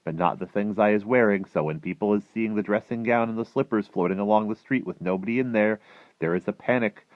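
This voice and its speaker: Boastful young storybook boy, voiced by a man: excitable, breathless, mangled grammar, lifted pitch. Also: fairly quick, no room sound, highs cut off, close to an everyday voice. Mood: gleeful, boastful. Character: excitable boastful young boy recounting his fantastic dreams.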